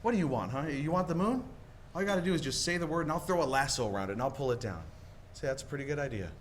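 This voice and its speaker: A man's voice: on the high side, expressive, rapid-fire, natural voice. A man playing an eager, dreamy young small-town man in love, stammering and rambling in 1940s radio play style. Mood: dreamy, boastful. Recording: live stage performance, audible background noise.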